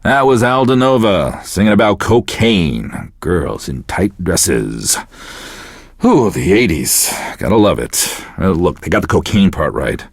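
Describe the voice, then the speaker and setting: An actor playing a bitter, self-pitying middle-aged American radio DJ in a video game, with deadpan, profane, casual patter. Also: male voice, low voice, measured pace, gravelly, breathy edge, dry recording, studio quality, heavily acted. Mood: weary, sardonic.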